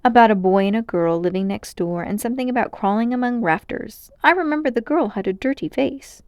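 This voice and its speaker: A woman's voice: on the high side, a little fast, a little mellow, no echo, close to an everyday voice. A woman voicing an easygoing, slightly puzzled young boy in a storybook, with an eager, boyish manner.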